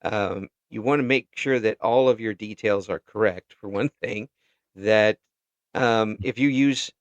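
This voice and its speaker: A man chatting casually as an interviewer, halting and given to chuckling as he talks. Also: fairly low pitch, no room sound, dull audio.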